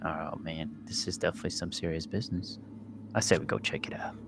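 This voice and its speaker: A man's voice: rumbling low, fast, creaky, music in the background. A man playing a tabletop roleplaying game, chatting casually with the group.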